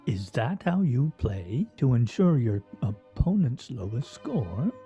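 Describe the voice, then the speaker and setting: An actor playing a pompous, sardonic husband in a radio play, speaking in a wry, theatrical, mock-formal way. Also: male voice, medium pitch, big pitch swings, takes it slowly, dark tone, slightly rough, muffled recording, soft background music, heavily acted. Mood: dry teasing. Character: pompous, sardonic husband at a weekly scrabble game.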